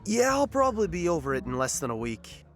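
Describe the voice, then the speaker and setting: A woman's voice: on the low side, big pitch swings, fast talker, soft background music. A woman playing a hot-tempered, foul-mouthed, sulky biker in an audio drama. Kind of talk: animated audio drama acting.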